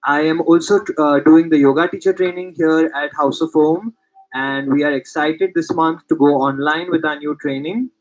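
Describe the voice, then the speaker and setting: A man's voice: a little high, steady tone, normal speed, poor audio. Earnest man from India. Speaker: yoga teacher. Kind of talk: self-introduction.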